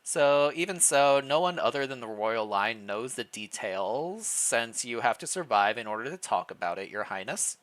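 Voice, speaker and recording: A man's voice: medium pitch, lightly acted. Man reading as composed, formal royal chamberlain woman. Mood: calm, formal. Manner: measured, formal, rehearsed calm. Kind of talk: storybook character reading.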